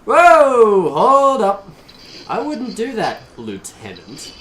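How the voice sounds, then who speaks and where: A man's voice: high voice, wildly swooping pitch, slow pace, reverberant, heavy background noise, heavily acted. A man playing a cavalier, wisecracking space smuggler in an audio drama, breezy and glib. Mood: teasing. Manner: breezy, glib, mock-innocent.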